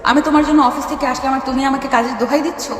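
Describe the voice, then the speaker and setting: A woman acts an assertive college chairwoman with a high-pitched voice and a playful edge. The audio drama is drenched in reverb, with music underneath. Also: steady tone, racing, close to an everyday voice. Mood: coaxing. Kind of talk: Bengali audio story acting. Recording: echoey long reverb, music bed.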